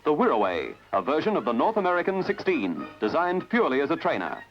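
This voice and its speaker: A man narrates a scripted newsreel in a dramatic, measured, slightly wry way. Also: a little high, huge pitch swings. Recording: dull lo-fi archival film soundtrack with music. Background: prominent music.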